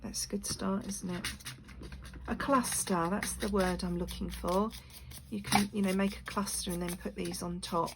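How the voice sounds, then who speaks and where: A woman with a croaky voice chatting casually to her channel while making craft pieces, rambling with lots of fillers. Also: mid-range voice, fairly even intonation, normal speed. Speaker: crafting video maker. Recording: noisy room with clicks.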